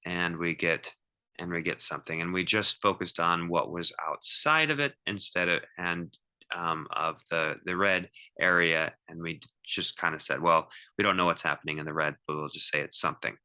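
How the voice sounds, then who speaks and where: Deep, very bright-voiced man teaching math. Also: clear, resonant tone. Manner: halting, thinking aloud, repeats himself. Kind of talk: informal step-by-step math lecture.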